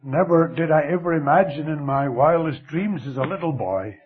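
An older man with a Scottish accent preaching a sermon, slow and expressive, letting his voice fall very quiet at the ends of phrases. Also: a little high, soft background music. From Scotland. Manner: slow, lively delivery with dramatic drops to near whisper. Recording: thin phone-like radio broadcast.

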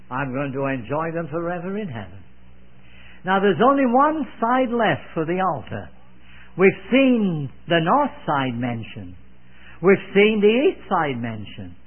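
A man's voice: on the high side, very animated, a little slow, husky. A man in his eighties preaching a sermon, earnest and emphatic, repeating phrases with solemn, old-fashioned devotional wording. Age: 80s. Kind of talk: sermon, expounding scripture. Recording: narrow, phone-like old sermon recording.

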